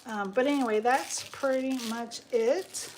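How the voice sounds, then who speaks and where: A woman's voice: on the high side, unhurried, slight background noise. Chatty woman in her forties. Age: 40s. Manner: chatty, talks to viewers and reads comments aloud. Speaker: craft livestream host. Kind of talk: casual live-stream chat while crafting.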